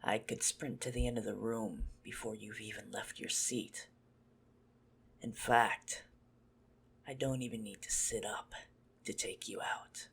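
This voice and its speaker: A menacing male vampire acted out by a man in an ASMR roleplay, toying with his prey. His voice is low and heavily gravelled, often sinking toward a whisper. He is possessive and mocking, talking down to the listener at an unhurried pace. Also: steady tone, a bit bright, full character voice. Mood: smug, menacing. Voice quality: husky, gravelly, breathy. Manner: mocking, slow, condescending, often dropping to a whisper.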